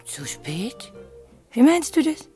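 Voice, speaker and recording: A woman's voice: high-pitched, sing-song, slow, mellow, soft background music, heavily acted. A woman playing a charming, teasing young lady on a night out in a German radio play. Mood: tipsy, affectionate. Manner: playful, teasing.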